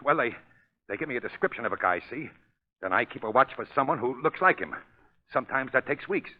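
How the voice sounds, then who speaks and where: Through a thin, tinny 1930s radio recording comes an actor playing a shifty male carnival crook. He blusters in street slang, and his pitch jumps about widely. He is cowardly underneath and very easily rattled. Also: animated, a little fast, natural voice. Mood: frightened. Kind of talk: 1930s radio drama acting.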